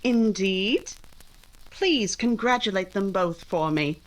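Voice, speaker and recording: A woman acting a witty, independent young gentlewoman in a 1940s-style radio drama, poised and emphatic. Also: mid-range voice, expressive, slow, rough recording. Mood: defiant, poised.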